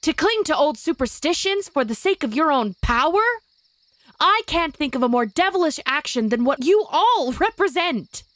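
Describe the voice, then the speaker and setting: A woman's voice: high voice, animated, moderate pace, fairly bright tone, no room sound. A woman playing a defiant, righteous witch, speaking firmly and dramatically in an audio drama. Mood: indignant. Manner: firm, dramatic, declamatory.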